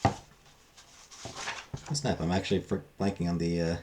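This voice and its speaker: A man giving a casual, unscripted book review, halting and thinking aloud with frequent pauses. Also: sits low, little pitch movement, darkish tone, a little hiss or hum.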